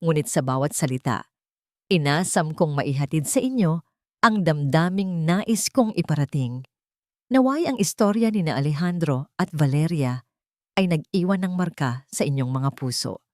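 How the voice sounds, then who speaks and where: A woman's voice: low-pitched, animated, normal speed, darkish tone, no echo, studio quality. A woman reading a scripted love story as an audiobook narrator.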